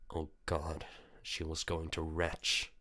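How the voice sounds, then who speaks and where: A man's voice: very deep voice, monotone, slow, bright, forceful tone. A man reading a visual novel aloud as dramatic story narration. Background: music.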